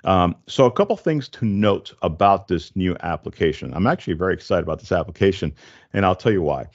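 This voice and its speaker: A man in his forties hosting a casual tech explainer, speaking in a halting, stop-and-start way into a close desk microphone. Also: low-pitched, lively intonation, dull audio. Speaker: tech show host. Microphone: desk condenser microphone, close, with headset.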